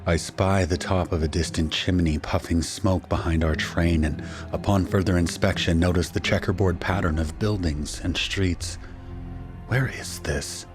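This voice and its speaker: An actor playing a shaken husband and father who narrates his ordeal in a grave, measured, first-person style for an audio drama. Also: sits low, steady tone, music in the background. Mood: grave, tense. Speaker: narrator and main character.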